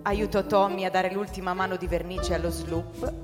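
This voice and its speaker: A woman narrating a scripted literary story for a radio drama in a measured storytelling manner. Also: fairly low pitch, fairly even intonation. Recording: long hall reverb, music behind.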